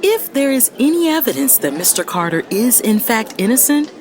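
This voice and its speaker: An actress playing a fiery, exasperated lawyer and big sister, speaking emphatically in a dramatic audio story. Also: female voice, a little high, very animated, normal speed, darkish tone, some chatter behind. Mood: composed, insistent. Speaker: audiobook narrator. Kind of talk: dramatic first-person story narration.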